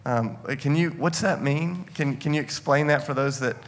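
A man's voice: on the high side, normal speed, a little hiss or hum. A man speaking casually and earnestly to a congregation as a pastor and host, effusive and often circling back to repeat himself. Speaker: church pastor hosting an interview. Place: church gathering on stage.

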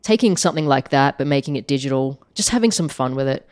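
A woman who is sick and sounds croaky, chatting casually as a podcast co-host, with many "like" fillers. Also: a bass voice, animated, quick pace, darkish tone, no room sound, studio quality. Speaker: podcast co-host, app maker. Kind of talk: casual chat.

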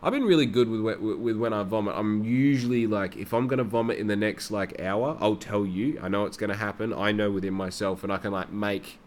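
A man's voice: on the low side, fairly quick, few pauses, a little mellow. A young man rambling through a casual personal monologue, swearing freely. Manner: rambling and heavily profane.

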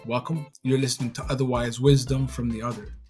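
A man's voice: mid-range voice, level tone, brisk, darkish tone, faint music behind. A middle-aged man from Somalia, co-hosting a casual podcast in a friendly, easygoing, reflective way. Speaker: podcast co-host.

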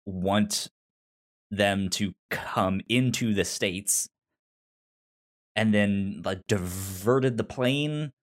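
A man's voice: fairly low pitch, very slow, pauses often. A man talking casually as a podcast co-host, recapping a story with frequent stammers and repeated false starts. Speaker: podcast co-host discussing an audio drama.

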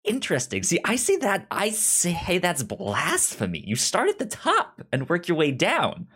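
A man's voice: sits high, huge pitch swings, normal speed, pushed and bright, slightly rough. A man co-hosting a casual chat show, with a stutter that repeats syllables and sounds as he talks. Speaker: podcast co-host.

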